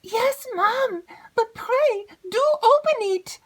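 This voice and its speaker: A woman voicing a terrified young servant girl who pleads in a high, frantic voice. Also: expressive, slow, exaggerated voice. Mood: frantic, pleading.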